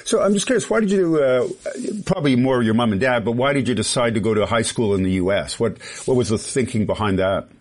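A man's voice: mid-range voice, lively intonation, fast, few pauses, darkish tone. A man hosting a radio interview show, asking curious questions with casual asides. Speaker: radio talk show host. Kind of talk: interview conversation.

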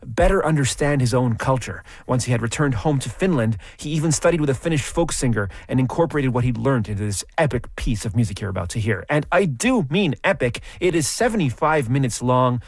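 A man hosting a classical music radio program, presenting in a measured, explanatory storytelling way from a studio microphone. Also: mid-range voice, lively intonation, no room sound. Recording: dry studio sound.

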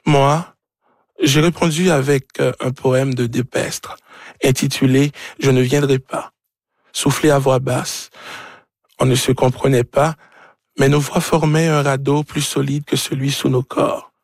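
A man from Haiti with a Haitian accent and Creole as his first language, a novelist speaking haltingly as he searches for words. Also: mid-range voice, flat delivery, no echo, clean studio sound. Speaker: novelist being interviewed.

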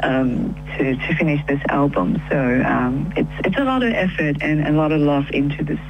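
Phone guest, woman from Taiwan, low voice, hesitant, dull line. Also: moderate pace, slight background noise. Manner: hesitant, many fillers and restarts. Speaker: musician guest. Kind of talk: casual interview chat.

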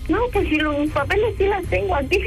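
A woman's voice: extremely high pitch, a little fast, soft background music. A woman giving evasive, defensive, hesitant answers in a spontaneous phone conversation. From Hidalgo, Mexico.

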